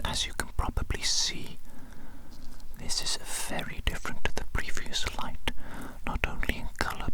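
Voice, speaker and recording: A performer whispering close to the microphone as a pompous, manic evil scientist in an ASMR medical exam roleplay, insistently repeating precise phrases. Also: rough recording.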